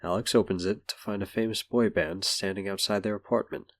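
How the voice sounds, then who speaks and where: A man's voice: on the low side, fairly even intonation, a little fast. A man reading scripted story narration for an audio drama. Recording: music behind.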